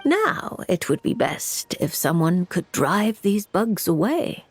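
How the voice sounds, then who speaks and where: A woman voicing a composed, gently teasing fortune teller in a video game, with a poised, musing manner. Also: on the low side, sing-song, slow, breathy edge, full character voice. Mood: musing, mysterious. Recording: music bed under the voice.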